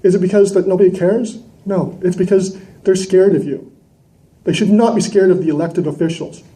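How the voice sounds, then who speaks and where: A man speaks at a public meeting in a fairly high but soft-edged, dark-toned voice. He is indignant and combative, pressing his points with emphatic rhetorical questions, close on a gooseneck mic. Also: fairly even intonation, normal speed, slightly rough. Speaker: citizen delegate at a council meeting. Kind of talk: impassioned public address. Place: large indoor council chamber. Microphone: gooseneck microphone close to mouth.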